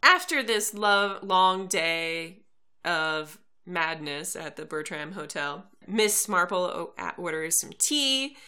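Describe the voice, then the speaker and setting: A woman co-hosting a podcast, chatting casually and animatedly as she recaps a book and show plot with quick restarts. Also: lowish voice, expressive, bright, forceful tone, soft background music. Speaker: podcast co-host. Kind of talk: casual book discussion chat.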